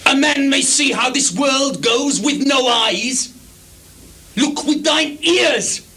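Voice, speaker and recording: An actor playing a mad, bitter old king in theatrical Shakespearean style, lurching between declamatory shouts and hushed mutters. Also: extremely high pitch, slow, heavily acted. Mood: sly, taunting. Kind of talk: theatrical Shakespearean stage recitation. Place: theatre stage before a live performance audience. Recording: 1980s live theatre recording, background noise.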